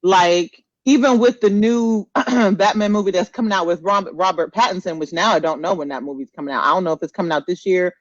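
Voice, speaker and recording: A woman co-hosting a podcast in casual movie chat, playful and animated, often repeating her phrases. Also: lowish voice, a little fast, hardly any pauses, no echo, lo-fi sound. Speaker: podcast co-host.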